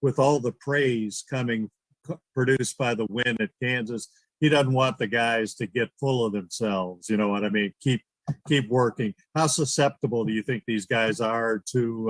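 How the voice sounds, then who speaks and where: A man's voice: mid-range voice, measured pace, no room sound, low-quality recording. Chatty man reporter asking questions. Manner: casual, chatty questioning with fillers. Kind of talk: press conference question.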